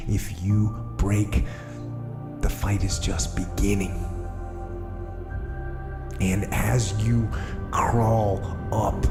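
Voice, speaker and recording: A man delivering a dramatic motivational speech with deliberate, emphatic pauses. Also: lowish voice. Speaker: motivational speaker. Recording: echoing speech over background music. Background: music.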